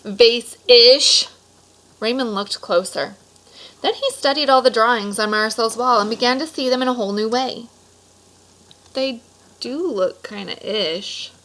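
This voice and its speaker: A woman reading a children's picture book aloud expressively, pausing for casual explanatory asides to young listeners. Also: on the high side, fairly bright tone. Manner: explanatory, stops to clarify words for children. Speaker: read-aloud storyteller.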